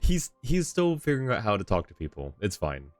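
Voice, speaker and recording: A man's voice: on the low side, wildly swooping pitch, a little fast, no echo, soft background music. A man streaming a game with casual, playful and teasing commentary.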